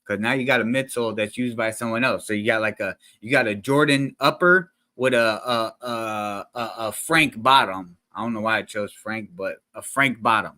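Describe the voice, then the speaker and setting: A man's voice: on the low side, moderate pace, hardly any pauses, pushed and bright, no echo. A man hosting a casual reaction video. He talks to the viewers in slangy, rambling speech with many restarts and fillers, into a desk microphone that sometimes peaks. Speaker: reaction channel host. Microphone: desk microphone, sometimes peaking.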